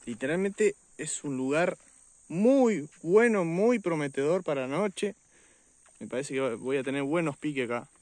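Argentine man with a higher-pitched voice. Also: lively intonation, a little slow, darkish tone, no echo. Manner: informal, addressing viewers. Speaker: fishing vlogger. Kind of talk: casual outdoor vlog narration. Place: outdoors on a riverbank.

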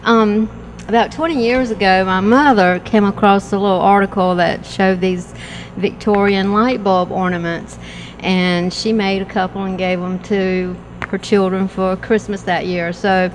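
A woman speaking at an ordinary middle pitch, halting and searching for words as she explains her crafts. She talks into a handheld microphone outdoors, with faint noise behind her. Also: steady tone, low-quality recording. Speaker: craft vendor. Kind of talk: casual chat. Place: outdoor patio at a train station shop. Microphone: handheld mic held by host.

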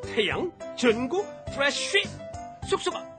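A woman's voice: high voice, big pitch swings, unhurried, music in the background. A woman voicing a friendly, questioning puppet bird who presents a children's show. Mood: curious, playful. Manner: questioning, teacherly.

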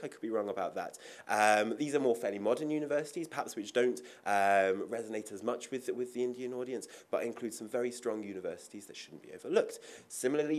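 A young man giving a conference presentation at a podium, informal, with frequent ums and quick asides. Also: fairly low pitch. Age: young adult. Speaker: university international officer. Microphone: podium mic.

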